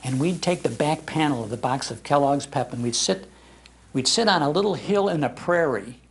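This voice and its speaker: An older man hosting a live talk, telling nostalgic stories in an animated, emphatic way. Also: a little high, moderate pace, a little hiss or hum. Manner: animated storyteller, emphatic repetition. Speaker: radio show host giving a talk. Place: public library talk before an audience.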